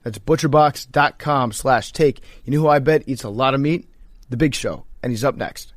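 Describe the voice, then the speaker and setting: A man's voice: medium pitch, moderate pace, no room sound, studio quality. A man co-hosting a podcast, talking casually and reading ads with a joking, mock-serious delivery. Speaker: podcast co-host. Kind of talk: casual banter and host-read ad.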